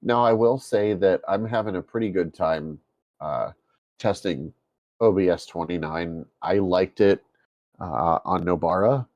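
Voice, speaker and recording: A man with a bassy voice co-hosting a casual tech podcast, amused and quick to laugh. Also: darkish tone, no room sound, muffled recording. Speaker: podcast co-host.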